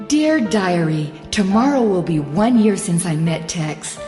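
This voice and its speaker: An actress playing a woman softly and reflectively reading from her diary in a radio drama voice-over. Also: fairly low pitch, expressive, measured pace. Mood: wistful. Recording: echoey long reverb, faint music.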